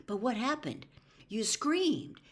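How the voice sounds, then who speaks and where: Female reader as teenage schoolboy, storybook hero. Earnest, blunt, insistent, very animated pitch. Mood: curious, concerned. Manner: insistent, quick questioning. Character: earnest, urgent, blunt teenage schoolboy questioning his teacher.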